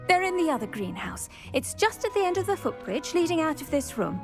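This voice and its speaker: A woman's voice: sits high, sing-song, a little fast, music in the background. A woman playing a kindly, whimsical herbology professor in a video game, coaxing her pupils playfully. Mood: warm, playful. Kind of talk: video game character acting.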